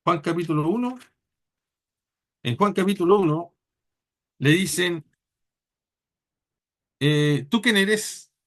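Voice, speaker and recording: A man preaches in a high voice, his plain throughout. He teaches earnestly and haltingly, often repeating words as he gathers his thoughts during a church sermon. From Chile.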